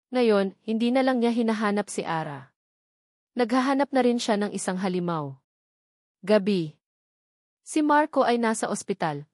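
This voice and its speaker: Storyteller: Filipino woman, mid-pitched, scripted dramatic narration, close dry recording. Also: a little mellow, dry recording.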